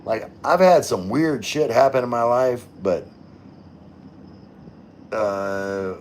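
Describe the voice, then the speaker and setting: Middle-aged man, unhurried pace, medium pitch, rambling casual livestream host, informal wording. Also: a little mellow, slight background noise. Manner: rambling, informal, occasionally swearing. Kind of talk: casual livestream chat, reading and answering viewer comments.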